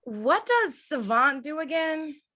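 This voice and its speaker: A woman's voice: high voice, sing-song, takes it slowly, sharp tone, full character voice. A woman reading casual character dialogue as a cast member in an amateur table read. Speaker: cast reader.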